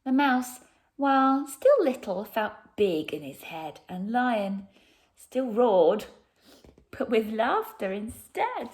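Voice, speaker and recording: A woman narrating a children's picture book expressively, with dramatic pauses and hushed emphasis. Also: on the high side, very animated. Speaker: storyteller.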